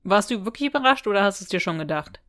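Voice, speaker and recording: A woman's voice: mid-range voice, expressive, very fast, a bit bright. A woman with a German accent co-hosting a podcast, talking about an audio drama in an informal, matter-of-fact way. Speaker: podcast co-host. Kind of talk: casual chat, discussing an audio drama.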